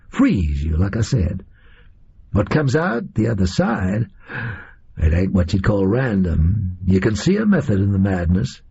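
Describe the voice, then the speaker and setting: A man's voice: lowish voice, creaky, mellow, husky, full character voice. A man voicing a tough, sardonic gunman who drawls in casual slang. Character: tough American male gunman, blunt and sardonic. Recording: muffled lo-fi recording.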